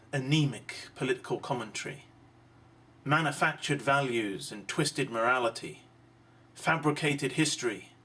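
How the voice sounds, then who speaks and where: A man delivering a deliberate, emphatic monologue of social commentary, pausing between phrases. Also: medium pitch, fairly bright tone. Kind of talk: slow rhetorical monologue. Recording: distant mic, clearly noisy background.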